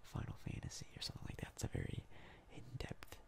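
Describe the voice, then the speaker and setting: A young man giving soft-spoken ASMR game commentary in a breathy, crackly whisper, casual and rambling with hesitant pauses. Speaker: ASMR gaming YouTuber.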